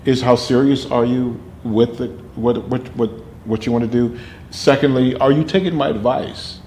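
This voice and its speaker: An older man, an actor and drama teacher, telling animated stories from his life in an interview with a booming voice. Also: moderate pace. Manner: animated storyteller, laughs often. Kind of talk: interview storytelling. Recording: roomy with faint noise.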